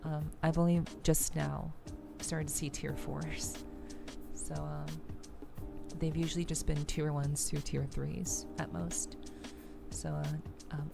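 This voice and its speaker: Slow, low-pitched woman streamer, soft and creaky. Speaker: streamer, VTuber host. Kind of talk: casual livestream chat with game commentary, ASMR. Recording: music playing behind the voice. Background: music.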